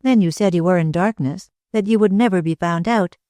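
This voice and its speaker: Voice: medium pitch, a little fast, mellow, no echo, clean studio sound, pitched above the performer's own voice, close to an everyday voice. A reader voicing an anxious young woman who presses with urgent, faltering questions, in an audiobook.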